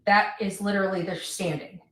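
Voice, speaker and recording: A woman giving an unscripted monologue in halting, deliberate, broken phrases, recorded in an echoey room away from the microphone. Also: mid-range voice, fairly even intonation. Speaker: online livestreamer. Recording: echoey room, distant mic.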